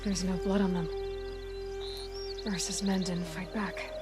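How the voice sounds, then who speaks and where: Woman doing young huntress from a video game, determined, thinking out loud like an investigator, loud music under it. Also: fairly low pitch, flat delivery, unhurried, lightly acted. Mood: grave, musing. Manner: observant, thinking aloud in short deductions. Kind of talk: video game cutscene acting.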